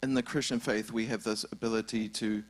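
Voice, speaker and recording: A man's voice: fairly low pitch, fairly even intonation, fairly quick. A man with a New Zealand accent asking a question from the audience, hesitant and full of restarts. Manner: hesitant, many restarts and fillers. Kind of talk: live question from the floor.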